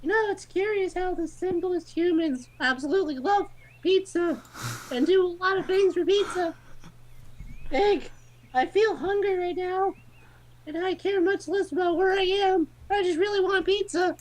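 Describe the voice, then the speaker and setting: A woman voicing an excitable, pizza-obsessed game narrator in a silly high voice, rambling along earnestly. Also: normal speed, poor audio. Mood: earnest musing. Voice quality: very high-pitched, silly put-on voice. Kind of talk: silly character read-aloud of a visual novel.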